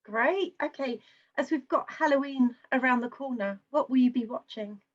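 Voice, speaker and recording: A woman hosting a podcast interview, asking gentle questions with short encouraging replies. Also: high-pitched, moderate pace. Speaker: podcast host. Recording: dull lo-fi line.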